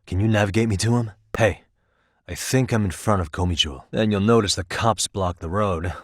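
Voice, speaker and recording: A man's voice: lowish voice, normal speed, no room sound, close to an everyday voice. A man playing a determined, streetwise detective in a video game, dry and probing in his terse delivery. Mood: intent, serious. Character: determined, streetwise male detective, dogged and wry. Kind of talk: scripted video game dialogue.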